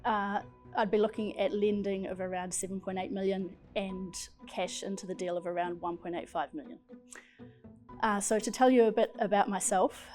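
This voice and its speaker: A woman with a New Zealand accent, giving a measured, detailed business pitch to investors. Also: a little mellow. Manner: measured, detailed, figure-heavy delivery. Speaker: pitching entrepreneur.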